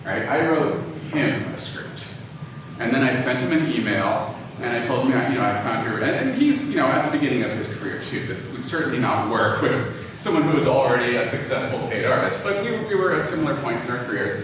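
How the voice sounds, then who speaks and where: A man giving an informal, conversational lecture, often halting and backtracking to rephrase as he tells personal anecdotes. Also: mid-range voice, very animated. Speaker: lecturer, audio drama writer and producer. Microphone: distant from the speaker. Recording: very echoey room, distant mic, clearly noisy.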